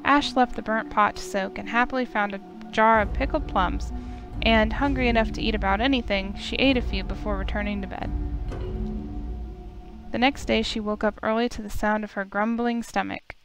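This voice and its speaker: A woman's voice: mid-range voice, steady tone, moderate pace. A woman reading a visual novel aloud as story narration for a playthrough. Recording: music clearly behind the voice.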